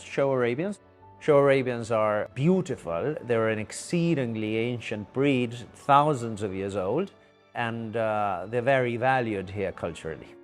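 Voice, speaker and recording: A middle-aged man from Argentina speaking as a veterinary clinician in a measured, deliberate interview style. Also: mid-range voice. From Buenos Aires, Argentina. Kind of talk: explanatory interview for a TV feature.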